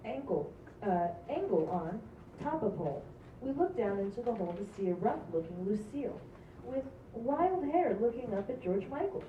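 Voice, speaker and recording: A woman's voice: mid-range voice, very animated, normal speed. Woman, stage-direction reader for a table read. Distant mic, echoey room. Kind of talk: reading script directions aloud. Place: live table read in a roomy space. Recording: roomy, distant, muffled, very noisy live recording.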